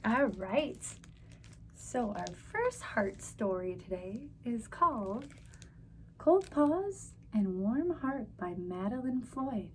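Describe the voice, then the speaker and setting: Female storyteller for young children: slow, deliberate read-aloud, slightly high pitch, encouraging delivery. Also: very animated, a little hiss or hum, poor audio. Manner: simple, encouraging address to young listeners.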